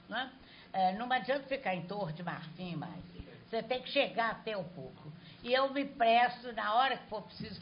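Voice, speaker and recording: An older woman speaking Brazilian Portuguese, an artist answering questions after a talk, telling lively stories and laughing as she goes. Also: medium pitch. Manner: lively storyteller, laughs while telling anecdotes. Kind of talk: lecture Q&A, conversational. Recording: muffled lo-fi hall recording with faint noise.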